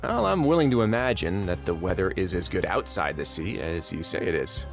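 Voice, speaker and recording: An actor playing a courteous, urbane and gently ironic young duke in a video game. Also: male voice, deep voice, lively intonation, brisk, soft background music. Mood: courteous, wry. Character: composed, genteel younger Duke who runs an undersea prison. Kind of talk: video game character acting.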